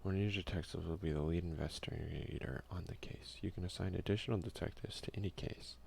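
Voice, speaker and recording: A man hosting an ASMR let's play, speaking softly and casually into a close microphone. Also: low-pitched, steady tone, fast, gravelly, a little hiss or hum. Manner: hushed, casual. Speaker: ASMR gaming host. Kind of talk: soft-spoken ASMR let's play commentary.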